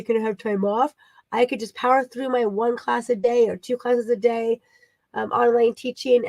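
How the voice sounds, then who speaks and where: A woman's voice: fairly high pitch, gravelly, no room sound. A woman in her thirties giving a casual, rambling personal update, still recovering, with her voice only partly back. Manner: chatty and rambling, many fillers and asides. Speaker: vlogger and online teacher. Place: her home.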